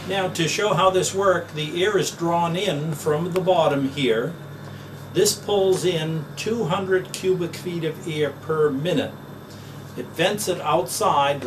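An older man talks through his own product in a plain, folksy sales manner, pausing on "uh" now and then. His voice sits a bit high for a man. He is recorded from a few feet away, with a steady fan hum in the background. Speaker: business owner pitching his product. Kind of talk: promotional demonstration. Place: office, then a basement demo wall indoors. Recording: distant mic with audible fan hum.

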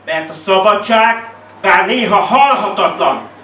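A man's voice: high voice, very noisy. A man from Hungary performing slam poetry live in a declamatory, rhetorical style with repeated phrases. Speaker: slam poet. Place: indoor live poetry venue. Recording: roomy echo, distant microphone.

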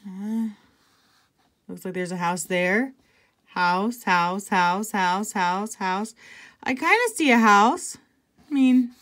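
A woman reading a picture book aloud to children, conversationally, pausing for casual asides and check-in questions. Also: medium pitch, fairly bright tone. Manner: conversational, pointing things out and asking check-in questions. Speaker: intervention specialist reading to children.

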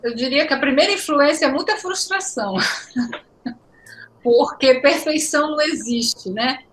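A woman's voice: high voice, a little slow. A woman in her forties from Pará, Brazil, a professor answering interview questions over a video call from home, reflective and explanatory, often repeating words as she searches for the next thought. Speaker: education professor, guest. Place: home room indoors. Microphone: laptop webcam on video call.

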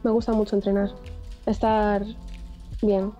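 Young woman contestant, shy and giggly, mellow voice through a dull call mic. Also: mid-range voice, level tone, a little slow, soft background music. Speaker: dating show contestant. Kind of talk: casual chat. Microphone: laptop mic on video call.

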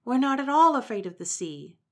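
A woman's voice: high voice, very animated, brisk, fairly bright tone, voice pushed up, exaggerated voice. A woman voicing an eager monkey in a storybook reading, in an insistent way.

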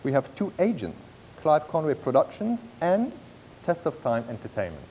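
A man in his thirties presenting a business pitch from a script on stage, with occasional slips and restarts. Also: wildly swooping pitch, moderate pace, dark tone, dull audio, slight background noise. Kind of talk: read-aloud business pitch. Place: large theatre stage.

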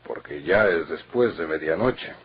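A grave, steady Mexican confidant in a vintage radio serial. The voice is deep and very husky, with dulled old-recording sound. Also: male voice, measured pace, muffled recording, exaggerated voice. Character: grave, steady older male confidant bringing bad news.

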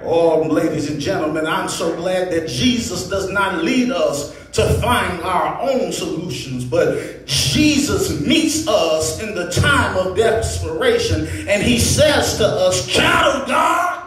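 A man's voice: high voice, expressive, faint music behind. A man preaching a sermon in an impassioned, oratorical way, using rhetorical repetition and addressing his congregation. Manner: impassioned, oratorical, builds from measured phrases to shouts. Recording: echoey hall, distant microphone.

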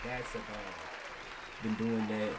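A man's voice: on the low side, slow, over a noisy crowd, heavy background noise. A young man giving casual, slangy reaction commentary, often repeating himself as he mocks what he watches. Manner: slangy, repetitive, mocking. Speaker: reaction streamer. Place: small room at home. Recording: anime soundtrack playing behind.

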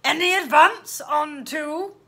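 A woman voicing a gruff, growling, sceptical policeman on the phone in a children's storybook, with booming, drawn-out questions. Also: a bit bright. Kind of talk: children's storybook read-aloud.

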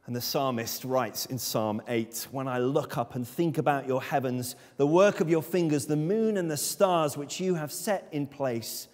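A man's voice: mid-range voice, normal speed, few pauses, a little mellow. Guy in his 30s giving a sermon, emphatic, church echo, mic a bit far. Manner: earnest, emphatic, gesturing with his arms. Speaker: preacher. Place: church sanctuary, speaking at a lectern. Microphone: far camera. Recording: long church reverb.

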